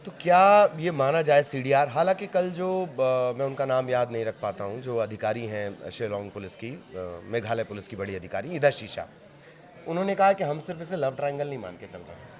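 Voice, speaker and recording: A man's voice: medium pitch, fairly quick. A man anchoring a live news discussion, putting questions and observations to a colleague.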